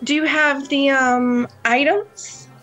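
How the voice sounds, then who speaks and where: A woman's voice: sits high, fairly even intonation, takes it slowly, a bit bright, soft background music, poor audio. A woman playing an anxious, scheming young nightclub worker in an amateur radio play, hesitant and melodramatic. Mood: coaxing, furtive.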